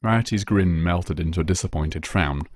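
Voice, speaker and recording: A man's voice: low-pitched, moderate pace, dry recording, studio quality. A man reading scripted fan fiction as a storyteller.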